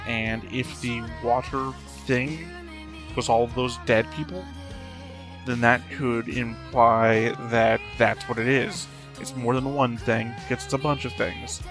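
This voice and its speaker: A man giving unscripted reaction commentary as a host, rambling as he thinks out loud. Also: mid-range voice, steady tone, slow, music in the background.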